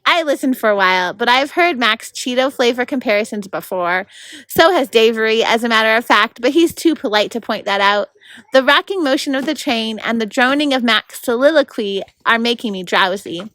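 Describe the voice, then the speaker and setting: Bright higher-voiced woman reading a story. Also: expressive, normal speed, no echo, low-quality recording. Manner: coaches child readers with quiet prompts. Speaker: volunteer story reader. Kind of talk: reading a children's novel aloud.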